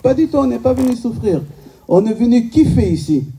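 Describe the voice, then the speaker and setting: Male rabbi, native Hebrew speaker, high-pitched voice, lecturing informally and emphatically, recorded at a distance in a hall. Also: lively intonation, a little fast, mellow. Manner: rhetorical questions, emphatic repetition, joking. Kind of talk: informal religious lecture to a live audience. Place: medium-sized indoor synagogue function room.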